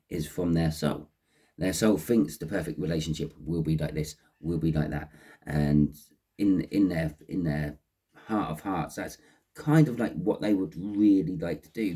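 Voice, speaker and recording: Deep, croaky man with a cold. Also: warm tone. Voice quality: croaky, husky. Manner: halting, repeating phrases as he explains. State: has a cold with a runny nose. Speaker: tarot reader and host. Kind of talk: unscripted tarot reading to camera.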